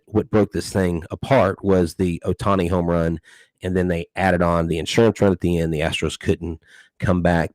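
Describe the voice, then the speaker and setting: Man, low deep voice, dry close sound, casual sports podcast co-host, rambling with restarts. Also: a little mellow, no room sound, rough recording. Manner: rambling, many restarts and fillers.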